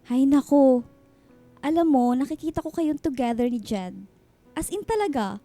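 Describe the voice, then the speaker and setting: Radio drama matchmaker friend, Filipina in her thirties: high, mellow voice, gushing, slangy, bantering. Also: expressive, normal speed. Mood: pushy, encouraging. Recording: music bed under the dialogue.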